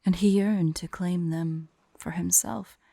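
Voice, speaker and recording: Woman voicing a slow, haughty, teasing dragoness. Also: lowish voice, fairly even intonation, dark tone, dry recording, heavily acted. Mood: storytelling, grave. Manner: slow, imperious, playful, breathy near-whispers at times. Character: haughty, teasing female dragon, vain and secretly fond. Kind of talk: intimate ASMR roleplay and storytelling.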